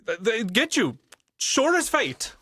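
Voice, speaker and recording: Desperate pleading victim, radio play, melodramatic, panicky, extremely animated pitch, slowish pace, tense bright tone. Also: male voice, husky, no echo, full character voice. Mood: fearful pleading. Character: desperate, cornered man begging for his life. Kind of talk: audio play acting.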